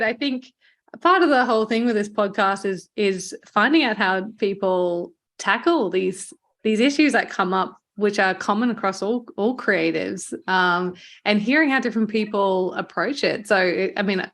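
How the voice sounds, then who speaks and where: Encouraging woman in relaxed podcast conversation. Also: mid-range voice, moderate pace. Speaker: podcast host, marketing agency owner. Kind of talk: conversational interview chat.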